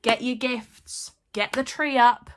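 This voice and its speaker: A woman's voice: on the high side, fairly even intonation, slow pace, fairly bright tone. A woman speaking as a show host, addressing her audience directly. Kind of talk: presenter's sign-off to audience.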